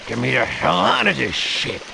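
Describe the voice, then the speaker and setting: A man's voice: medium pitch, sing-song, moderate pace, vocal fry. An actor playing a grumpy, foul-mouthed elderly man who complains irritably in a video game. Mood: irritated. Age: older. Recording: noisy game cutscene with background ambience.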